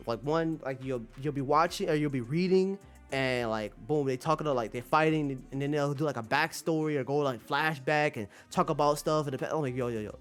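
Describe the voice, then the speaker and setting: A male streamer talks off the cuff to his audience in a mid-pitched voice, with music playing behind him. His delivery is slangy and emphatic, circling back on phrases to drive them home. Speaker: streamer and manga commentator. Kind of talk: casual rambling vlog monologue to viewers.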